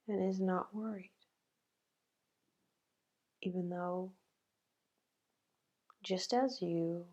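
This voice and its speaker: A woman leading a guided meditation in a gentle, halting way, with long pauses between phrases. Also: mid-range voice, vocal fry, dull audio.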